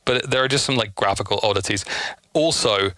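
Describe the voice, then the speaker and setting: A man's voice: fairly low pitch, a little fast, pushed and bright, no echo. A man giving casual, chatty gameplay commentary, explaining things as he goes in an enthusiast's asides. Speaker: gameplay streamer.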